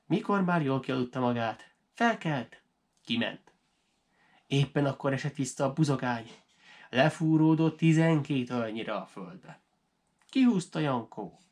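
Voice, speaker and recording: Animated male storyteller, lively swings in pitch. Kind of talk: expressive folk tale narration for language learners.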